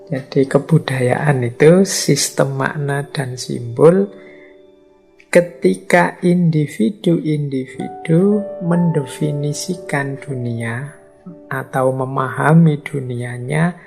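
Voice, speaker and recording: A middle-aged man with a slightly hoarse voice, giving a conversational philosophy lecture and acting out everyday remarks. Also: a little high, takes it slowly, darkish tone, soft background music.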